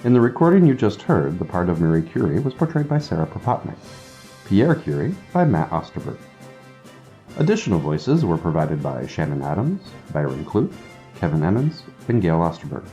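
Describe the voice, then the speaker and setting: A man reads a scripted radio announcement. His voice is very low and somewhat mellow. The sound is slightly dull, with faint music behind him.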